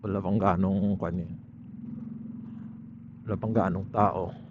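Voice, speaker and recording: A man's voice: low voice, fairly even intonation, measured pace. A man with a Filipino accent chats casually and playfully to viewers as a motorcycle vlogger, speaking inside a helmet outdoors. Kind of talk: casual riding vlog chat to viewers. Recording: muffled helmet mic with ride noise.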